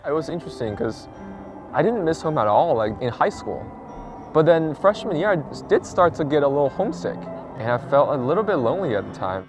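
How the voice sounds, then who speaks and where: A young man in his twenties from China, a student, talking in an interview in a relaxed, hesitant way with restarts. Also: on the high side, a little fast, music in the background. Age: 20s. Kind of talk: interview and casual chat.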